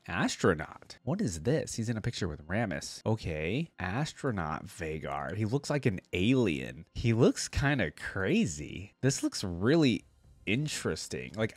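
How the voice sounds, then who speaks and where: A man's voice: medium pitch, no echo. A young adult man giving casual reaction commentary, chatty and informal, thinking aloud as he hosts. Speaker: reaction video host. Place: indoor room at a desk. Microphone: close desk microphone on boom arm.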